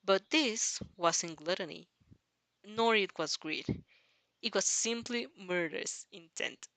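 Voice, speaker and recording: A woman reading a dramatic fan fiction story aloud as narrator, halting now and then over the text. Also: mid-range voice, a bit bright, dry recording, poor audio. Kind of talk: dramatic audio story narration in second person.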